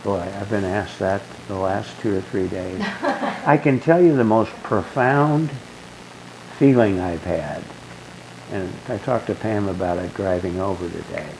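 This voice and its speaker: An older man with a low, growly bass voice, talking casually in a group and rambling through stories with many restarts. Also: measured pace, creaky, noisy background. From Southern California. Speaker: guest being asked questions.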